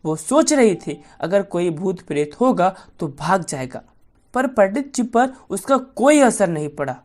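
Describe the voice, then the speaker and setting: A woman's voice: mid-range voice, big pitch swings, measured pace, darkish tone. A woman storyteller narrating a bedtime folk tale for children.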